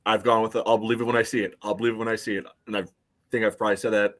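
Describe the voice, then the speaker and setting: Male American podcast co-host, slightly deep voice, conversational and halting, talking through soccer tactics casually. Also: little pitch movement.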